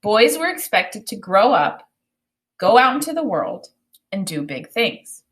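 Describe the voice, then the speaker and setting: A woman teacher reading a children's book aloud, with expressive, deliberate phrasing and explanatory asides. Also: mid-range voice. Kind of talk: read-aloud of a children's book with think-aloud commentary.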